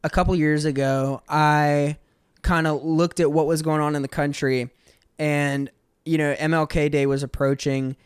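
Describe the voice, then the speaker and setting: A young American man in his twenties with a fairly high, smooth voice. He talks in an earnest, casual storytelling way, like a podcast guest. The sound is close and dry. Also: little pitch movement, normal speed, no room sound. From Georgia, USA. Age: 20s.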